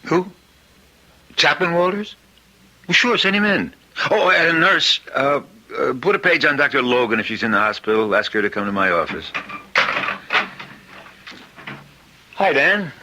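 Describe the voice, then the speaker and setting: An actor playing a brisk, pragmatic hospital doctor in an old-time radio drama. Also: male voice, on the high side, expressive, pushed and bright, heavily acted. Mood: brisk, genial.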